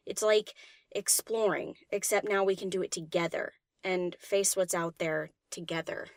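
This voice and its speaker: A woman's voice: on the low side, moderate pace, dry recording, studio quality, natural voice. A woman voicing an easygoing young-spirited DJ pony in a fan audiobook, casual, slangy and teasing. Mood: tender, sincere. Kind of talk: fan audiobook character acting.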